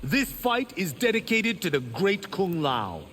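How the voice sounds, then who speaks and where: An actor playing a cocky, boastful young martial-arts warrior in a video game. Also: male voice, sits high, very animated, measured pace, a bit bright, big-room sound, slight background noise, exaggerated voice.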